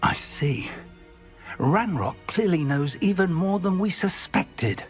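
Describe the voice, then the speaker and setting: A man's voice: sits high, animated, takes it slowly, soft background music. A man playing a wry, kindly, scholarly professor in a video game, formal and thoughtful in his speech. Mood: thoughtful, urgent. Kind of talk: video game cutscene acting.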